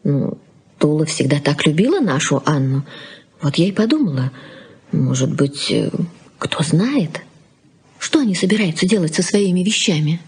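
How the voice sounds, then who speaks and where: A middle-aged wife and mother in a 1980s Soviet radio play. Her voice is husky and mid-pitched, and she speaks in a conciliatory, wistful way, gently coaxing and questioning. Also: sing-song, normal speed, full character voice. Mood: confiding.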